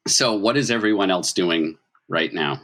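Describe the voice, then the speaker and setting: A deep-voiced man acts as game master. He improvises the narration of a tabletop story in a conversational, explanatory way, checking in with his players as he goes. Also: normal speed, fairly bright tone.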